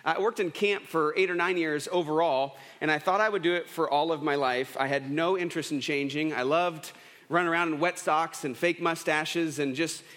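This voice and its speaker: A man's voice: a little high, steady tone, normal speed, a bit bright. A man in his thirties preaching a casual, storytelling sermon, chatty and self-deprecating with lots of restarts. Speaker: pastor. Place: church sanctuary. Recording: long church reverb.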